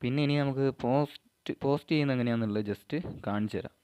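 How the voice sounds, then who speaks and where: A man from Kerala, giving an informal step-by-step tutorial in halting, short phrases. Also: mid-range voice, darkish tone, smooth. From Kerala, India. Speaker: amateur vlogger giving an app tutorial.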